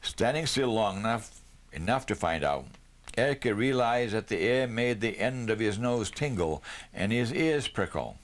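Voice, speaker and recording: Male storybook reader, lower-pitched voice, narrating prose with occasional small stumbles. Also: lively intonation, measured pace. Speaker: audiobook reader.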